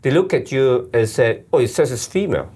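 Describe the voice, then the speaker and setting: A male interviewer speaks with a lively pitch that rises and falls widely. He listens attentively and keeps answering with short, quick affirmations. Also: husky. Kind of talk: conversational interview.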